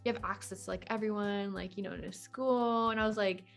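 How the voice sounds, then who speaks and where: A woman's voice: mid-range voice, fairly even intonation, a little fast, vocal fry. Young woman chatting informally over faint music. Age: 20s. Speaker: podcast guest. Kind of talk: casual conversational interview.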